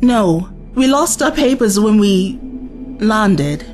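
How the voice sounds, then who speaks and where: A woman's voice: mid-range voice, animated, slow pace, a little mellow, faint music behind. A woman playing an earnest, evasive young traveller in an audio drama, guarded and hesitant in her answers.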